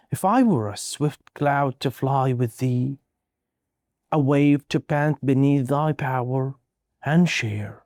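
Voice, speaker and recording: A man reciting poetry in a solemn, measured, dramatic way with deliberate pauses. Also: on the high side, vocal fry, dark tone, no room sound, clean studio sound. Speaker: poetry reader.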